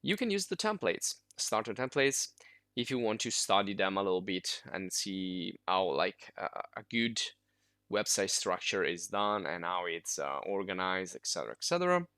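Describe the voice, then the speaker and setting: A man whose first language is Italian, talking through a screen-recorded software tutorial casually, with many fillers and restarts. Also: low voice, big pitch swings, moderate pace, no room sound, clean studio sound. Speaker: software instructor.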